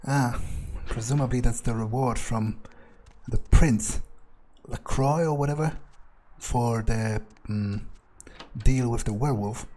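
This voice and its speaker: A man with a medium-pitched voice thinks aloud in character as he plays a game. He is musing, hesitant and profane, and faint noise sits under the recording. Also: takes it slowly, warm tone. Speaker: gamer roleplaying his character.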